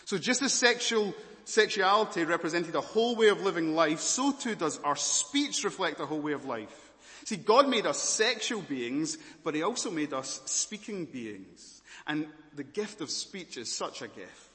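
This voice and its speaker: A man with a Scottish accent preaching a sermon, moving between hushed and loud emphatic passages. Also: fairly high pitch, lively intonation, moderate pace, few pauses, big-room sound. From Malawi, raised as Scottish.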